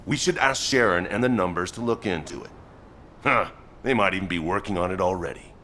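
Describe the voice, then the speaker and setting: An actor playing a gruff, pragmatic squad commander in a video game, blunt and commanding. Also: male voice, deep voice, fairly quick, bright, forceful tone, slight background noise. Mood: firm, pragmatic.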